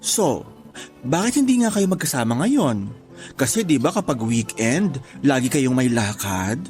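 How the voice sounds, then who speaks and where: An actor voicing a woman who is a teasing, blunt office friend, with exaggerated, drawn-out delivery in a radio drama. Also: rumbling low, sing-song, a little mellow, soft background music, heavily acted. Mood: playful, teasing. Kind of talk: Tagalog radio drama dialogue.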